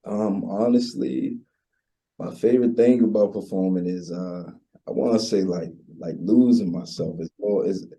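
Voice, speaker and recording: A man's voice: on the low side, normal speed, gravelly, mellow, slightly rough. A man in his twenties with a Baltimore accent, chatting casually as an interview guest in a slangy, laid-back way. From Baltimore, USA. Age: 20s. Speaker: interview guest, recording artist. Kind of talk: casual chat.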